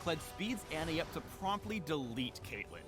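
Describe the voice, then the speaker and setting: A man delivering scripted game showcase narration in a playful, animated manner. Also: on the high side, normal speed. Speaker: champion spotlight narrator. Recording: played video with music behind.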